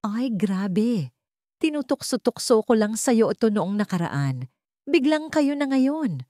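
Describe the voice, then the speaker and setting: A woman's voice: on the high side, sing-song, measured pace, dark tone, dry recording, clean studio sound, pitched above the performer's own voice. A woman voicing a teasing, astonished young female friend who exclaims her lines in a romance audio story.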